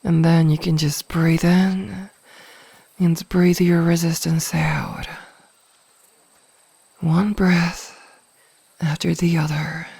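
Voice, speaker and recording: A woman playing a possessive, teasing mage in an ASMR fantasy roleplay, speaking softly and coaxingly with condescending endearments. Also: lowish voice, flat delivery, takes it slowly, gravelly, pauses often, husky, no echo, exaggerated voice. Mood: hypnotic, possessive. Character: possessive, teasing female mage hypnotizing a burglar.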